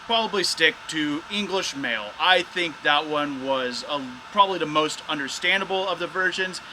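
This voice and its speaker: A man's voice: a little high, normal speed, pushed and bright, slight background noise, poor audio. A man hosting a casual, hands-on product test of drone gear as a reviewer.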